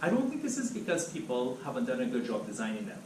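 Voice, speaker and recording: A man's voice: medium pitch, fast. A man from Trinidad and Tobago, giving an academic seminar talk as guest speaker in a lecture room. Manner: animated, steady lecturing. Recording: echoey room, distant mic, background noise.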